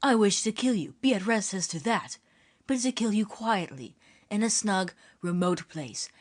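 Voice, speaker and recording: A woman reading an audiobook, voicing a young musketeer with churchly airs, polished, ironic and measured. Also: mid-range voice, expressive, close to an everyday voice.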